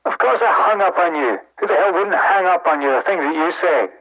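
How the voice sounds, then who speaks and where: A man playing grumpy Scottish man, high-pitched voice, indignant scolding, telephone call, comedy. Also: expressive, a little fast, a bit bright, heavily acted. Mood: irritated. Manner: indignant, scornful.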